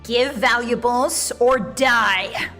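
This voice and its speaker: A woman voicing a threatening young wolf-girl bandit in a visual novel, speaking bluntly and flatly. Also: a little high, very slow, faint music behind. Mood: menacing.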